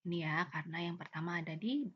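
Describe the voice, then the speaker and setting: Indonesian lady lecturer explaining stuff step by step, lowish voice, kinda muffled audio w background noise. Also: fast talker, slightly rough. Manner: didactic, poses questions and answers them. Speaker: accounting lecturer. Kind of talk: explanatory lesson, walking through worked examples.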